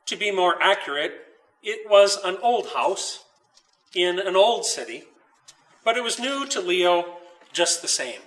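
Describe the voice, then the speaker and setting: Male storybook reader, higher-pitched and crisp-edged bright tone, deliberate phrasing, recorded a little off the mic. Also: expressive, far from the mic, rough recording. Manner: measured, deliberate storytelling with pauses. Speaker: author reading own story. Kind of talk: children's storybook read aloud. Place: old dairy barn.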